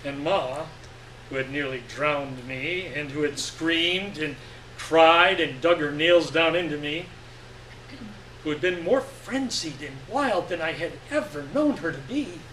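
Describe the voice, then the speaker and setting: Slow, higher-voiced man reading fiction aloud. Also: a bit bright. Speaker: story reader. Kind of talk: dramatic reading of literary fiction to a live audience. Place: live stage reading event. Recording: echoey room, distant mic, faint noise.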